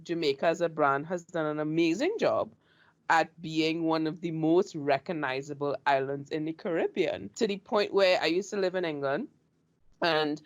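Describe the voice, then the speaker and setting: A woman from Trinidad with a Trinidadian accent hosting an interview, chatty and animated as she tells her own stories. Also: low-pitched, normal speed. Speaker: show host. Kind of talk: casual interview chat.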